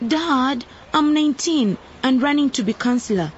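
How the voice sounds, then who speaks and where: A woman's voice: high-pitched, lively intonation, moderate pace, a little hiss or hum. An actress playing a determined nineteen-year-old woman running for councillor, in a radio drama on a dull lo-fi recording. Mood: firm. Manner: confident, firm.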